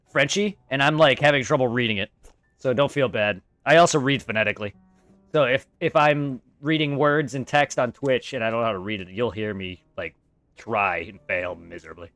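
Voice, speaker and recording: A man's voice: medium pitch, moderate pace, fairly bright tone, dry recording. A male streamer with an ordinary mid-range voice, chatting with viewers and swearing freely. The mic is close and dry. Game music plays faintly behind him. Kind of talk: casual live-stream chat.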